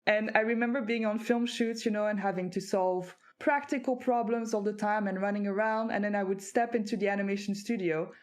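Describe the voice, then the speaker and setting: Reflective woman from France, mid-pitched. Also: steady tone, a little fast, hardly any pauses. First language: French. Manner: reflective, thoughtful storytelling with hesitant fillers. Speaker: guest author. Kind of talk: conversational interview.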